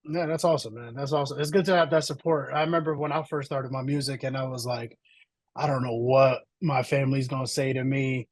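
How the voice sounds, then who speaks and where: A man's voice: mid-range voice, steady tone, a little fast, no echo. Male podcast host, encouraging and chatty, dry close-miked voice. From Slovenia. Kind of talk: casual podcast interview.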